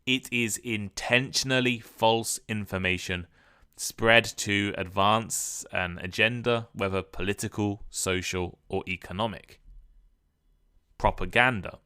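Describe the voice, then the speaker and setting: A man with a somewhat low voice narrates a scripted English lesson in a measured, deliberate way. His tone is bright and smooth, and he is recorded close on the mic in a dry room. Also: dry recording. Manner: clear, measured delivery that sounds read from a script. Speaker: podcast host and English teacher.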